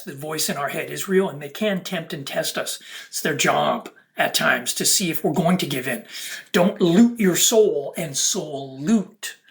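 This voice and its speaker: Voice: medium pitch, vocal fry, a bit bright. A speaker delivering an unscripted, preachy monologue to viewers in emphatic, broken-up phrases. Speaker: content creator.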